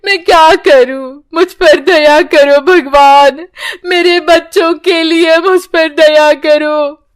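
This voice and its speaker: A despairing, ailing mother played by a woman implores through sobs in a storybook tale. The voice is high and drawn out very slowly. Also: steady tone. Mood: anguished pleading. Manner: imploring, sobbing. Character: sick despairing mother praying for her children. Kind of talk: children's story acting.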